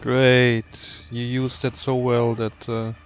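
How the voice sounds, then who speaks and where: Slow-talking man commenting on a game. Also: medium pitch, monotone, faint music behind. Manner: muttering, wry, thinking aloud. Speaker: gaming video host. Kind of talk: casual gameplay commentary.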